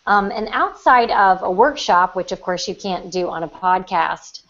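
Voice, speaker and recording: A woman's voice: medium pitch, lively intonation, moderate pace, a bit bright, muffled recording. A woman chatting casually on a podcast as a writing teacher, thinking aloud as she explains. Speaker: writer, writing teacher and magazine editor. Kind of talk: casual chat.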